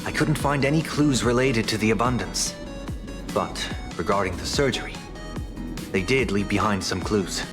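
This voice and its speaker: An actor voicing an earnest young male investigator in a video game, speaking in a measured, formal way. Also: on the low side, natural voice. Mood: resolute. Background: game music.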